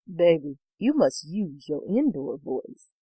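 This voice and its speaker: A woman voicing a calm, teasing husband who gives slow, firm commands in a story. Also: on the high side, no room sound, low-quality recording.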